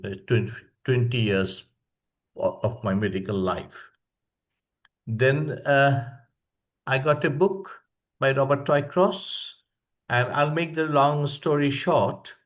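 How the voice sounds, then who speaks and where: An older man with a Bangladeshi accent gives an informal presentation on a video call. He speaks very slowly, in a halting and reflective manner, with a mid-pitched voice. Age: 60s or older. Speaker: professor of palliative medicine, guest speaker. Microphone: online video call.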